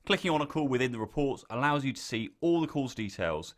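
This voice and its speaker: A man reading a scripted software tutorial, giving clear step-by-step instructions as the narrator. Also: medium pitch, expressive, quick pace.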